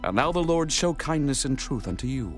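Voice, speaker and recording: Man playing animated, stately king David. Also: medium pitch, fairly quick, faint music behind, lightly acted. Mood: solemn, gracious. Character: David, newly anointed king, solemn and gracious. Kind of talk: dramatized scripture reading, theatrical.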